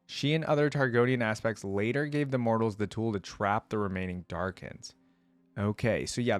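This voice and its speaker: A man's voice: mid-range voice, no echo. Man reading and reacting, dry close sound. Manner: curious, thinking aloud. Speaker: reaction video host. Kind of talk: reading aloud with casual commentary.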